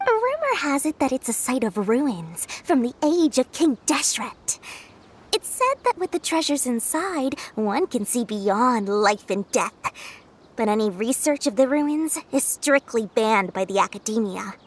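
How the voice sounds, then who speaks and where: A woman's voice: a little high, big pitch swings, unhurried, slightly rough, exaggerated voice. An actress voicing a shrewd, cheeky young woman merchant in a video game, with glib, theatrical sales patter. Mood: confiding, serious.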